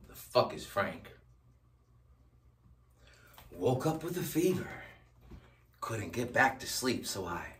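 A man acting a dramatic monologue as a bitter, unhinged character confronting an old acquaintance, halting and volatile, moving between hushed and shouted delivery. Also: deep voice, full character voice. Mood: bitter, brooding. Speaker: actor performing a monologue. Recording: roomy echo, distant mic, faint noise.

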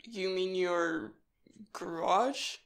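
A woman playing an earnest, cautious human child in a fan audio drama, measured, serious and matter-of-fact. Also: on the low side, vocal fry, full character voice. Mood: questioning.

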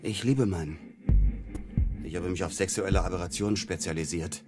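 An actor playing an evasive, defensive, smug doctor with a drinking problem in a radio crime drama. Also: male voice, deep voice, moderate pace, lightly acted. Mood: cornered, provocative. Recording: music behind the voice.

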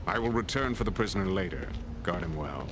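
An actor playing a sinister, commanding villain's lieutenant in a fantasy game, speaking in a measured, imperious way. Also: male voice, sits low, animated, noisy background. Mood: cold, commanding. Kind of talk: fantasy video game cutscene acting.

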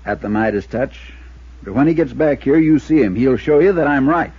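An anxious small-town American man in a vintage radio play, his voice husky and rough. He sounds earnest, insistent and hesitant under pressure, on a dull, lo-fi recording. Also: mid-range voice, animated, normal speed, dry recording. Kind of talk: 1950s radio drama acting.

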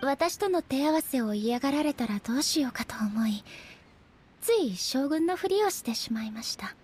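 An actress voicing a gentle, polite, earnest female warrior deity in a Japanese video game, softly spoken and sometimes breathy. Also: high-pitched, lively intonation, moderate pace, slight background noise. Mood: gentle, candid. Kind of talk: Japanese game character voice acting.

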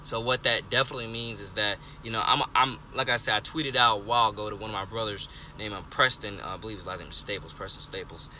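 A young man of twenty talks into a phone held close in his car, earnest and repetitive, circling back on himself with fillers. His voice sits a little low but sounds bright and forceful. A faint hum of the street sits behind him. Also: fairly even intonation, quick pace, few pauses. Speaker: vlogger. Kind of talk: rambling casual monologue. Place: parked car, indoors of vehicle. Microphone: phone held close, selfie framing.

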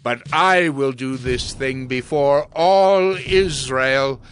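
A man playing a stern, solemn prophet who declaims God's judgment deliberately in an audio Bible drama. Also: mid-range voice, a bit bright, slight background noise. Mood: stern, grave.

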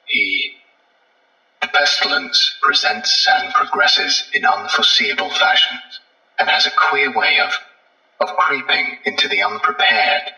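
A sinister plague doctor creature speaks in formal, halting phrases with calm conviction. The voice is low and very sharp-edged. It sounds distant and echoey, like eerie game dialogue. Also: male voice. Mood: solemn, unrepentant. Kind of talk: dramatic acted dialogue. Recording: muffled, echoey recording, distant from mic.

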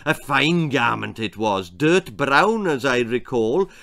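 A man voicing a deaf, oblivious elderly Welsh granny who rambles on in a croaky voice, in a children's story. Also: mid-range voice, lively intonation, slow, fairly bright tone, full character voice. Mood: rambling, reminiscing.

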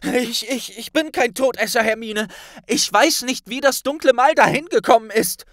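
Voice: mid-range voice, normal speed, a bit bright, no room sound, studio quality, pitched above the performer's own voice, natural voice. A narrator voicing a frightened teenage wizard boy, pleading and stammering, in an audiobook. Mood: desperate.

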